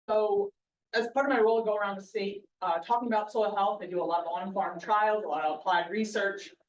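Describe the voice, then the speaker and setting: A woman giving a conference talk to a live audience in a loud, projecting voice, chatty and quizzing the crowd. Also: mid-range voice, a little hiss or hum. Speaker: guest speaker, soil scientist. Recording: roomy hall, mic far off.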